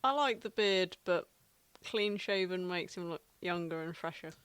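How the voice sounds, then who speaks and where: A woman's voice: on the low side, moderate pace, a bit bright, clear, resonant tone, dry recording. A woman co-hosting a podcast in casual conversation. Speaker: podcast co-host. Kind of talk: casual chat.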